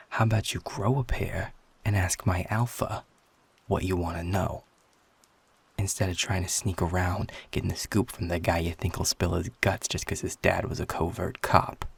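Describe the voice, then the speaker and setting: A man playing a guarded, sarcastic, defiant werewolf in an intimate audio roleplay, talking bluntly with a husky, gravelly voice. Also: low voice, steady tone, moderate pace, exaggerated voice. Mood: irritated, defiant. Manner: sardonic, blunt, swearing, confrontational.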